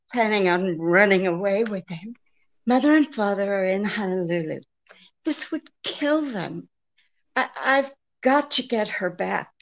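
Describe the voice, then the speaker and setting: An actress playing an anxious, genteel woman pleading for help in a 1940s-style radio drama, speaking haltingly. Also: mid-range voice, muffled recording. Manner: hesitant, halting, faltering.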